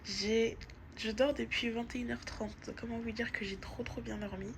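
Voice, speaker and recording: A woman's voice: fairly high pitch, fairly even intonation, normal speed. A woman vlogging at home on her phone, chatting casually with a stuffy nose from a cold and lots of fillers and restarts. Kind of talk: casual diary vlog. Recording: noticeable background noise.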